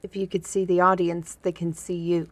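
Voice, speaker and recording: A woman playing a young, guarded, gently teasing fantasy woman in a roleplay audio drama, talking directly to the listener in a conversational way. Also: lowish voice, flat delivery, normal speed, natural voice. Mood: wry, measured. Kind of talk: scripted roleplay audio acting, ASMR.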